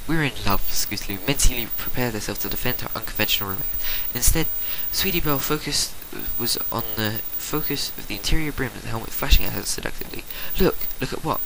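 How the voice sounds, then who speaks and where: A man's voice: medium pitch, fast talker, hardly any pauses, bright, forceful tone, rough recording. A man giving an amateur dramatic story narration in a mock-serious, theatrical way. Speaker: reader of fan fiction. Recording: clearly hissy home recording.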